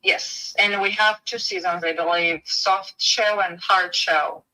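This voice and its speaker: A woman's voice: on the low side, fairly even intonation, takes it slowly, sharp tone. A woman with a Bulgarian accent, a real estate agent talking business on a phone call in a friendly way.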